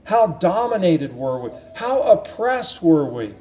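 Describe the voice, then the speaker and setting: A man's voice: high voice, sing-song, slow pace, dark tone. A man preaching a sermon, teaching through a Bible passage with earnest, repeated emphasis and frequent restarts. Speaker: pastor.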